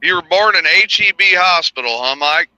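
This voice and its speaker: Male co-host, bright tense voice, dry close mic, laughing storyteller. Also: high-pitched, normal speed, dry recording, rough recording. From Texas. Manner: animated storyteller, laughs a lot. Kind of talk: casual chat, storytelling.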